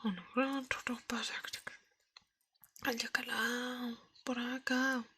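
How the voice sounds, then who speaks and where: A woman's voice: on the high side, level tone, sharp tone, husky, dry recording. A woman whispering breathily in an ASMR style, close to the microphone, with playful repetition. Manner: slow, playful repetition of syllables and words. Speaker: ASMR streamer. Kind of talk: whispered ASMR storytelling with repeated nonsense trigger sounds.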